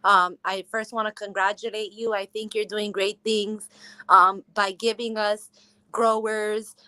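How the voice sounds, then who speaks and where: A young woman from Miami, speaking as an interview guest, earnest and grateful in a steady way. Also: medium pitch, a little slow, clean tone, no echo. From Miami, Florida. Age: young adult. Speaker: guest, cannabis grower.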